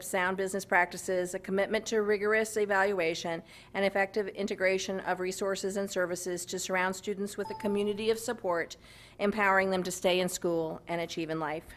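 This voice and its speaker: A woman giving informal, chatty remarks at a school board meeting, with many ums and restarts. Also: medium pitch. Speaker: community nonprofit representative. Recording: echoey room, distant mic, noisy.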